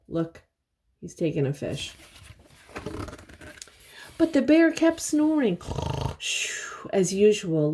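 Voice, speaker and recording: Slow, husky older woman reading to children. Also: lowish voice. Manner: expressive, simple explanations and questions for little listeners. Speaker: host reading a children's picture book aloud. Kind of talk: read-aloud storytelling with explanatory asides to young children.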